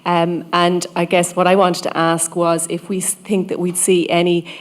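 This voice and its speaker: A woman, a political party representative, asking an earnest, measured question at a conference. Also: lowish voice, monotone.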